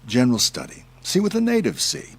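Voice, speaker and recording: An actor playing an irreverent covert boss in an audio drama, husky and casual, with a teasing, enthusiastic way of talking. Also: male voice, mid-range voice, huge pitch swings, a little fast, exaggerated voice. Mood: amused, casual. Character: playful, irreverent superior agent, a covert male boss.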